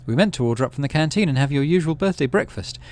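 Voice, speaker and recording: A man voicing a sharp, insistent mother. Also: a little high, animated, rapid-fire, pitched above the performer's own voice, natural voice. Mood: apologetic. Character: firm outspoken mother arguing with husband.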